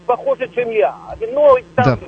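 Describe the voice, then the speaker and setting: High, husky male radio caller. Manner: halting, searching for words. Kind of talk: phone-in call. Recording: dull lo-fi phone call.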